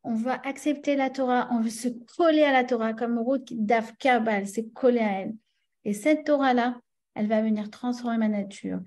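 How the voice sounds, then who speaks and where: Mellow-voiced French woman teaching a class. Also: fairly high pitch, steady tone, normal speed. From France. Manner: chatty, many fillers and restarts, checks in with listeners. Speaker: religious teacher.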